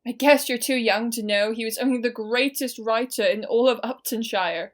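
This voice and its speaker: A woman's voice: fairly high pitch, normal speed, natural voice. A young woman with an English accent playing a melodramatic eighteen-year-old girl in mourning in a student radio play, overwrought in manner. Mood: haughty. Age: young adult.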